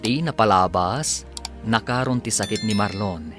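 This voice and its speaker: A man's voice: on the low side, a little slow. A man with an Ilocano accent announcing and narrating a scripted radio drama in a dramatic way. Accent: Ilocano, northern Philippines. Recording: music under the voice. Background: music.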